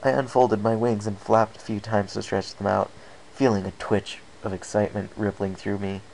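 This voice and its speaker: Creaky-voiced man reading a story. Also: on the low side, level tone, normal speed, a little mellow, slight background noise, poor audio. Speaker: amateur story reader. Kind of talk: first-person scripted story narration.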